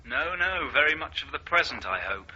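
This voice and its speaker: Casting: eager young man, very bright tense voice, guarded charm, muffled radio drama sound. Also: fairly high pitch, sing-song, normal speed, a little hiss or hum, full character voice. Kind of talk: 1980s British radio drama acting. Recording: dull lo-fi radio drama recording.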